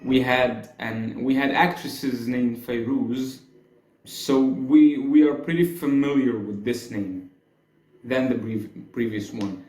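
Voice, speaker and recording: A man's voice: medium pitch, darkish tone. A young man from Egypt with an Egyptian accent, chatting in a casual explainer vlog, hedging often and gesturing as he talks. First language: Arabic. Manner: chatty, hedging, gestures a lot with his hands. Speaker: YouTuber. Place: small room with blue walls, indoors. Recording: echoey small room.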